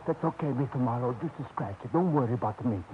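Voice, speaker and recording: A man's voice: mid-range voice, fast, natural voice. An actor playing an elderly immigrant father, speaking earnest broken English in a 1940s radio drama. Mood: calm, determined. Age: older. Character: elderly Italian immigrant father, gentle, brave. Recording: dull, hissy old radio recording.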